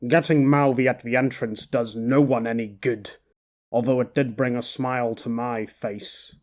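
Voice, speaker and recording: Man doing a cool, flat-voiced tough. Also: medium pitch, moderate pace, pitched below the performer's own voice, natural voice. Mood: detached. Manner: flat, indifferent. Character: cool, detached man in sunglasses.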